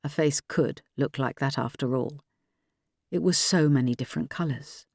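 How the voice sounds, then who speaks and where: A woman's voice: rumbling low, moderate pace, vocal fry, darkish tone, no echo, studio quality. A woman audiobook narrator reading dramatic fiction from a script.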